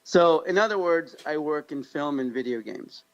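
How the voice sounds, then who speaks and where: An American man with a slightly high voice and a creaky, gravelly edge chats as a podcast co-host. He tells stories in an upbeat, jokey, conversational way. The audio is a little dull and muffled. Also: expressive, normal speed. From Pakistan-born, grew up in Kansas, United States. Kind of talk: casual conversational podcast chat.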